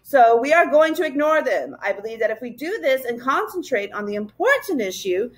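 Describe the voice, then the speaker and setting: A woman voicing a steady, polite male professor in a children's storybook, speaking with calm, encouraging emphasis. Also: high voice, fairly quick. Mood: firm resolve. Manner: emphatic, courteous, determinedly calm.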